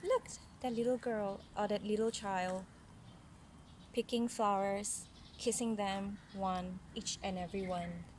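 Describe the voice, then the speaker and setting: A woman reads a story aloud as a mother to a young child, adding gentle conversational asides. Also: mid-range voice, fairly even intonation, measured pace. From Malaysia. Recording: noisy recording with background sounds. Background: ambient noise, young child nearby.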